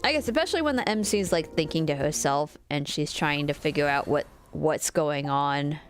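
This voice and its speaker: A woman giving casual livestream game commentary in a rambling, teasing, expressive way. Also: sits low, fairly quick. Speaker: streamer. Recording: music behind the voice. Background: game music and character voices.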